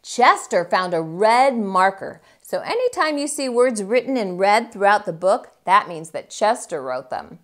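A middle-aged woman hosting children's storytime, reading a picture book aloud in a theatrical, deliberate, emphatic way. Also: medium pitch, very animated. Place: small indoor room with bookshelves.

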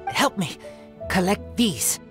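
A man's voice: sits high, big pitch swings, takes it slowly, full character voice. An actor voicing an earnest, feral young wolf-raised boy in a video game, speaking clipped broken English. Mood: matter-of-fact. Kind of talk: video game character acting. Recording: game music behind the voice. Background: game music.

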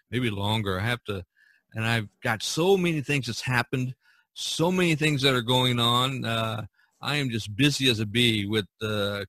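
A man hosting a podcast in a casual chat, speaking in a halting, folksy and encouraging way. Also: medium pitch, pushed and bright, no room sound. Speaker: podcast host.

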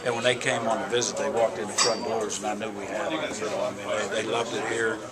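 A middle-aged man with a somewhat low voice answers reporters in a cramped media room, relaxed and conversational, leaning on "you know" as he goes. Several handheld mics sit close to him. Loud crowd chatter fills the background. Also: quick pace. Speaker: college football assistant coach. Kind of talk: press interview answers. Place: small indoor media room. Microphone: several handheld reporter mics held close.

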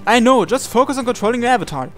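Brusque high-voiced young fighter, read by a man. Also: animated, very fast, fairly bright tone. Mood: urgent, commanding. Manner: curt, urgent. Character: brusque, commanding young male avatar fighter. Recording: game music playing behind.

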